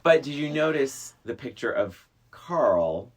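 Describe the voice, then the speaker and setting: Middle-aged podcast host with husky, lively delivery. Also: medium pitch, slow pace. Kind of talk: casual film discussion.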